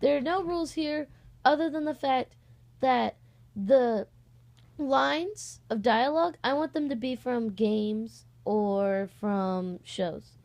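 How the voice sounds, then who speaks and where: Higher-pitched young woman, rambling casually. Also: takes it slowly, vocal fry, darkish tone. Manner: rambling, hesitant, self-correcting. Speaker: online voice-acting channel host. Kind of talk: casual vlog, audition call.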